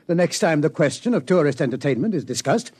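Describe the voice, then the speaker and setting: Earnest astronomer, animated and formal. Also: male voice, fairly high pitch, fast talker, breathy edge. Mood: earnest, desperate. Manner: formal, precise, proper. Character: earnest, formal astronomer, anxious scientific gentleman. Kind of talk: old-time American radio drama acting.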